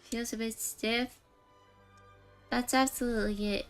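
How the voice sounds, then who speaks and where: A woman's voice: on the high side, steady tone, unhurried, soft background music. A woman livestreaming a game, chatting casually and ramblingly with her viewers. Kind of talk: casual livestream chat while gaming.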